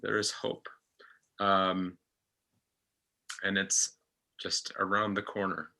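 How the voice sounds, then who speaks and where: A man speaks reflectively on a podcast in a deep, strikingly bright voice. He is slow and halting, with gently worded, reassuring delivery. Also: flat delivery, gravelly. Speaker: actor as podcast panelist.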